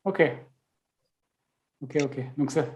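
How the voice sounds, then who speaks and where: A man's voice: on the high side, takes it slowly. A man hosting a casual interview, chatty, with many fillers and restarts. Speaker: host.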